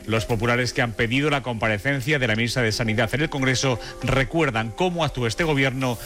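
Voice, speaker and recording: A man filing a political news report in a crisp, formal style. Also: medium pitch, brisk, music in the background. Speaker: political correspondent.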